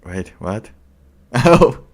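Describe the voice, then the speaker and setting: Slow, very low-voiced man. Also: very animated. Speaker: streamer reacting to a video. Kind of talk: casual off-the-cuff commentary.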